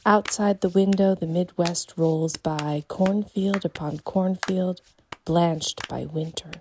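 A woman's voice: fairly low pitch, fairly even intonation, slow, darkish tone. A woman reading a literary short story as scripted narration. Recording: faint background noise.

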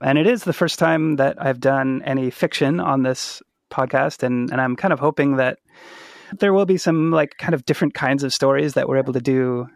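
A man's voice: medium pitch, normal speed, vocal fry, no echo, clean studio sound. A man hosting a podcast in a casual, conversational interview style. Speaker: podcast host.

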